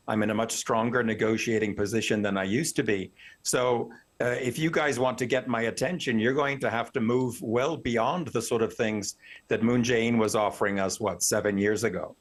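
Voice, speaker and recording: Articulate man giving expert analysis, mid-pitched. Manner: articulate, opinionated, explanatory. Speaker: professor, expert news guest. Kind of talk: analytical news interview commentary.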